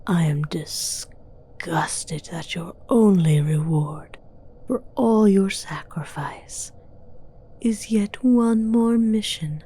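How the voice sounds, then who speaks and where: A woman voicing a haughty, seductive female demon villain in a whispered, breathy ASMR fantasy roleplay, speaking formally and with condescension. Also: low voice, dark tone, exaggerated voice. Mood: coaxing, disdainful. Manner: slow, deliberate, condescending, formal.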